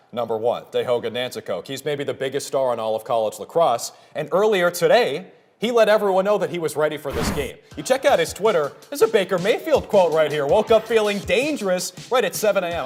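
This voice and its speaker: Higher-pitched young male sportscaster. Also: sing-song, brisk, hardly any pauses, soft background music. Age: early 20s. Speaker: sports broadcaster and reporter. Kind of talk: play-by-play commentary, interviews and studio reporting.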